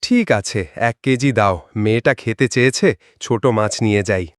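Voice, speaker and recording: A man's voice: mid-range voice, lively intonation, normal speed, clear, resonant tone, no echo, clean studio sound, close to an everyday voice. A man playing plain-spoken, folksy village men in a cartoon fairytale. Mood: haggling. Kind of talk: Bengali cartoon fairytale dialogue.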